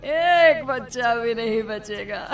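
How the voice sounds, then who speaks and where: A woman's voice: fairly high pitch, expressive, recorded from a distance, soft background music. A woman voicing a cackling evil witch. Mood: menacing gloat. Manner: gloating, drawn-out cackling threats. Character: vengeful female witch stealing village children. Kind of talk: cartoon voice acting.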